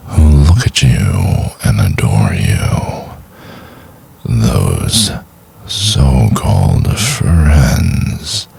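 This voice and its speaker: Man acting as a gravelly, very deep whispering obsessive stalker. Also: darkish tone, full character voice. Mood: insistent, jealous. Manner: slow, deliberate, coaxing and condescending. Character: obsessive possessive male stalker, menacingly tender lover. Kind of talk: boyfriend ASMR roleplay, intimate murmuring.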